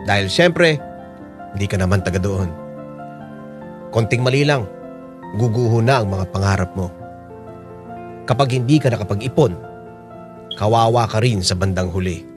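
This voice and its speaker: An actor narrating as an overseas worker, reflecting in a measured first-person voice in a radio drama. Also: male voice, lowish voice, over music, natural voice. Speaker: narrator telling his own story.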